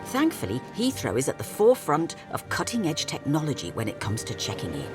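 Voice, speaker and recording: A woman's voice: deep voice, huge pitch swings, fairly quick, over music. A woman giving scripted documentary narration with a wry, playful delivery.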